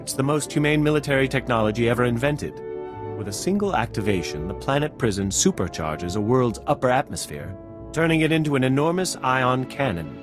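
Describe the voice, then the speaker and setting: A man plays a haughty scientist from a video game. He sounds pompous and quick to defend his work, in a middling male register, with music clearly playing behind him. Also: mid-range voice, lively intonation, lightly acted. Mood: haughty, defensive. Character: pompous, defensive military scientist, doctor who designed a weapon. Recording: music under the voice.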